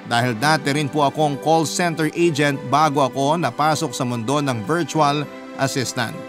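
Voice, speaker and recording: A Filipino man reading aloud as a young woman confiding her love story in a measured radio-drama manner. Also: on the high side, fairly even intonation, over music, lightly acted. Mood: polite, introducing.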